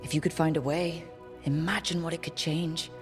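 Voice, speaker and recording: An actress playing a steady, supportive adventurer leader in a video game, reassuring and gently probing. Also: female voice, deep voice, moderate pace, music in the background, close to an everyday voice. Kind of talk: video game cutscene acting.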